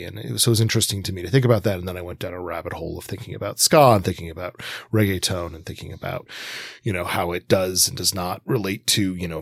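A man hosting a podcast in a casual discussion, talking reflectively in rambling, halting sentences. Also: sits low, slightly rough, no room sound, studio quality. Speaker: podcast host.

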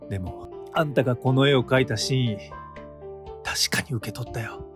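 An actor playing a blunt art patron in a radio drama, talking brusquely and colloquially, then narrating in a hushed voice. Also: male voice, medium pitch, normal speed, lightly acted. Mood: awed. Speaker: former patron of the painter. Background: background music.

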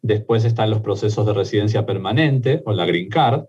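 Argentine man calmly explaining, low voice. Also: breathy edge, highs cut off. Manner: measured, methodical, frequent fillers and restarts. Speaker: immigration lawyer guest. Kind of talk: explanatory interview talk.

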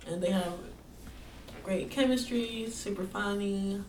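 Female co-host, soft and hesitant, distant mic, faint hiss. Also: medium pitch, takes it slowly. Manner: soft-spoken, hesitant, many "um"s. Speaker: podcast co-host. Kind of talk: casual podcast chat. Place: indoor room. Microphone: set far from the mouth. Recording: echoey room, far from the mic, faint hiss.

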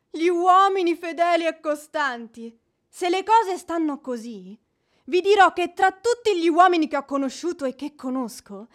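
Haughty young widow, high-pitched, passionate, indignant, melodramatic radio play delivery. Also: female voice. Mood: mocking disbelief. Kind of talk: theatrical Italian radio drama acting.

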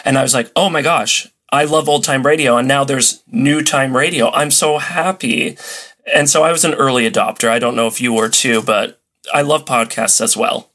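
A man's voice: medium pitch, fairly even intonation, moderate pace, bright, forceful tone. A man chatting casually as a podcast guest, telling stories in an enthusiastic, playfully dramatic way. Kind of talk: casual chat, storytelling.

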